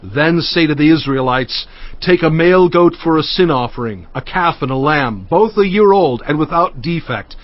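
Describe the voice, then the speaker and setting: A man playing a commanding prophet who gives solemn, deliberate instructions in a dramatized scripture recording. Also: on the high side, animated, dry recording, highs cut off, lightly acted.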